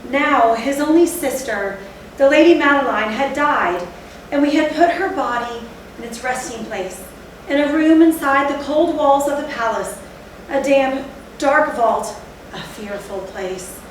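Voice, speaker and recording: A high-pitched, fearful Gothic storyteller acted by a woman speaks with deliberate, dramatic phrasing. The voice comes from far off in a very echoey theatre, with faint noise. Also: lively intonation. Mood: somber, uneasy. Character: haunted, fearful narrator recalling a night of horror. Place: theatre stage, recorded from a distance. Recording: echoey hall, distant mic, faint noise.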